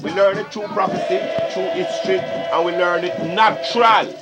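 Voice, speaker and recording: A man's voice: high-pitched, fairly even intonation, normal speed. A Rastafarian man with a Caribbean accent, holding forth in impassioned patois oratory on an archival tape recording. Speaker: Rastafarian speaker. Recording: archival reel-to-reel tape, music behind.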